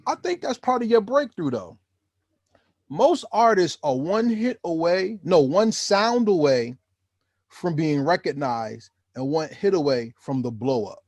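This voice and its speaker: A man's voice: fairly high pitch, sing-song, darkish tone, no room sound. Rambling, enthusiastic male interview host. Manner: enthusiastic, rambling, bursts into fast speech. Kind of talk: casual interview.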